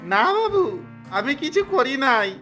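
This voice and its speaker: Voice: high-pitched, big pitch swings, slow pace, sharp tone, faint music behind, heavily acted. An actor playing a humble village buffalo-cart driver, pleading and deferential, in a cartoon. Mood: defensive pleading. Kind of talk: cartoon voice acting.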